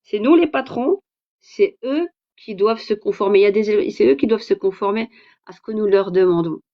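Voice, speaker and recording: A woman's voice: mid-range voice, brisk, lo-fi sound. A French woman hosting a livestream, outspoken and hesitant with many fillers, speaking with a Parisian French accent. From France. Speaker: livestream host and author. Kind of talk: casual livestream talk.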